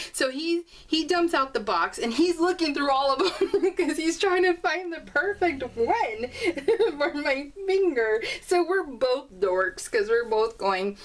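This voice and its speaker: A woman vlogger chatting casually and ramblingly as she crafts, telling everyday stories in an informal, giggly, animated way. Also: high voice, distant mic. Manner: giggly, animated storytelling with exclamations. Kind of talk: casual rambling chat while crafting, voiced in real time.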